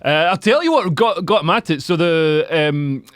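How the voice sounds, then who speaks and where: A Scottish comedian and podcast host chatting casually, rambling with lots of "like" and laughing often. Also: male voice, a little high, sing-song, moderate pace, dry recording. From Scotland. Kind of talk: casual chat.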